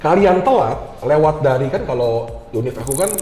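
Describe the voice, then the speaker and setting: A man's voice: medium pitch, animated, normal speed, dark tone, reverberant, faint music behind. A man with a Jakarta Indonesian accent chatting informally as an interview guest, mixing English words into his talk. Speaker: guest, IT university lecturer. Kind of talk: casual interview chat.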